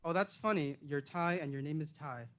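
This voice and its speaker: A man's voice: on the high side, fairly bright tone. Young man reading an earnest reflection. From Illinois, US. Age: young adult. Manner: earnest, measured, reflective delivery. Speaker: program intern. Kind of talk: reading a prepared reflective speech.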